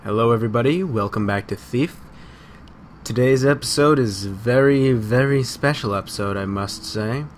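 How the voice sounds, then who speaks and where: A man's voice: medium pitch, moderate pace, slight background noise. A man doing casual video game commentary as a let's player, informal, rambling and sweary, on a desktop microphone. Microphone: USB desktop microphone.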